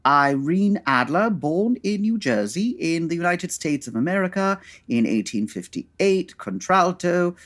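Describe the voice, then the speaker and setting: A woman playing a pedantic male Victorian detective in a comedy audio drama, with a British accent and formal, exacting, husky delivery. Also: on the low side, big pitch swings, a little slow, hardly any pauses. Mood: composed, formal.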